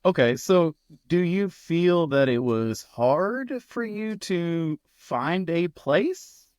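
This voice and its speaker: An American man hosting a podcast, interviewing in an informal, halting, conversational way. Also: fairly high pitch, expressive, a little mellow, no room sound. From United States.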